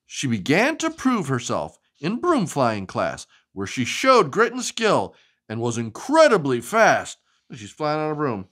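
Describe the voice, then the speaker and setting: A woman hosting a children's read-aloud, sing-song and expressive, who asks the child questions. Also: extremely low pitch, unhurried. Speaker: host reading storybooks to a child.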